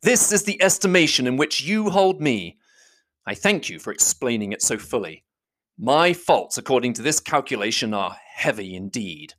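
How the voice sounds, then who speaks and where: A reader voicing a proud, stiff gentleman who speaks in a measured, contemptuous, slow way. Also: mid-range voice, big pitch swings. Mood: cold. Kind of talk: audiobook reading.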